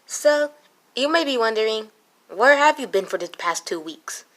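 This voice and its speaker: A woman's voice: fairly high pitch, animated, normal speed, fairly bright tone. A woman hosting her own channel, chatting casually and rambling with self-mocking remarks. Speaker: channel host. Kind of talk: casual vlog chat.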